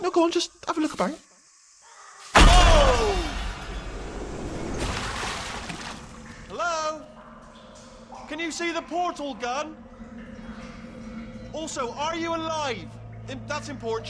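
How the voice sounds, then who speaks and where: A bumbling robot sphere. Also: male voice, very high-pitched, a bit bright, a little hiss or hum, heavily acted. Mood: chatty. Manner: rambling, anxious, babbling with constant restarts. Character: chattering male robot sphere, nervous, bumbling, eager. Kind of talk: video game voice acting.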